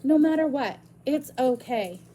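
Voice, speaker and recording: A woman's voice: sits high, lively intonation, unhurried, dark tone. A woman voicing a stern, caring guardian. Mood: gentle.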